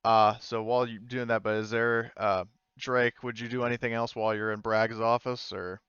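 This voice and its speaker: A man's voice: mid-range voice, level tone, normal speed, a bit bright, clear, resonant tone, dry recording. A man running a tabletop game as game master, talking casually, with a slightly dull recording.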